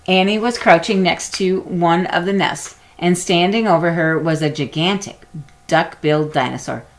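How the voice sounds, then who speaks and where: Woman reading a kids chapter book out loud, lowish voice, expressive storytelling. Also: normal speed. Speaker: storybook reader. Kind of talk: scripted narration of a children's chapter book, read aloud.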